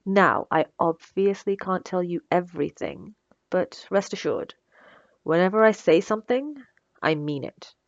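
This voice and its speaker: A woman's voice: lowish voice, animated, unhurried, dry recording, highs cut off. A woman playing a smug, sarcastic female supervillain who taunts her captive with theatrical condescension in an ASMR roleplay. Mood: menacing, firm. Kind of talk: ASMR roleplay audio drama.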